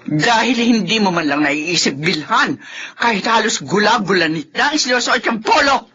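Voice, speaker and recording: A woman's voice: mid-range voice, lively intonation, moderate pace, sharp tone, husky. An actress playing a bitter, accusing Filipino mother-in-law in a radio drama, scolding and sarcastic. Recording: dull, noisy lo-fi drama recording.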